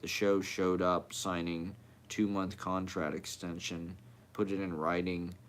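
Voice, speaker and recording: A man's voice: low-pitched, level tone, a little slow. A man reading poetry aloud as a poet, in short clipped fragments delivered one after another.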